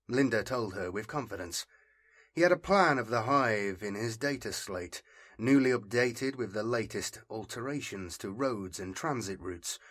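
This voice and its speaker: A man's voice: lowish voice, fairly bright tone, slightly rough. A man narrating scripted fiction as an audiobook reader, telling the story dramatically.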